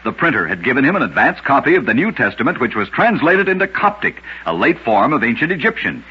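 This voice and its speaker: Very raspy, lively male narrator. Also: mid-range voice, fast, lo-fi sound. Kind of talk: scripted narration of a curious fact.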